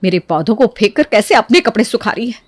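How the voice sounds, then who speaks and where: Woman acting a bitter, high-voiced vengeful sister-in-law. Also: lively intonation, fast, no room sound. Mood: vengeful, scheming. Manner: bitter, scheming, taunting. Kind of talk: animated family story dialogue.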